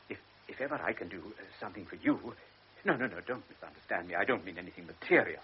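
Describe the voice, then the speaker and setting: An actor playing a frail, anxious elderly baron who is a devoted father. He speaks earnestly, stammers and drops into hushed tones. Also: on the low side, natural voice. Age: older. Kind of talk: classic radio drama acting. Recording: lo-fi radio recording with faint hiss.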